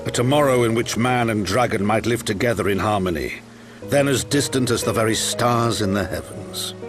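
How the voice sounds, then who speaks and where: A man voices a solemn male chronicler narrating a fantasy game saga over music. His lowish voice is grave and deliberate, with a portentous, old-fashioned storytelling style. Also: natural voice. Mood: solemn, wistful. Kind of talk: scripted dramatic game narration.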